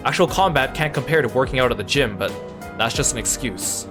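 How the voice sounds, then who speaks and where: An earnest young fighter in a video game, frustrated with himself, read aloud by a man streaming the game. The reading is plain but the pitch moves a lot. Game music plays behind him. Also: lively intonation, a little fast, natural voice. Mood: rueful.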